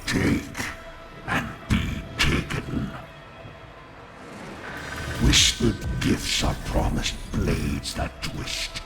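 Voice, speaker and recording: An actor voicing an ancient, menacing undead sorcerer in a video game, speaking in cryptic, portentous riddles with a breathy rasp. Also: male voice, low voice, expressive, drawn-out words, long pauses, full character voice. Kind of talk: video game villain acting. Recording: music behind the voice.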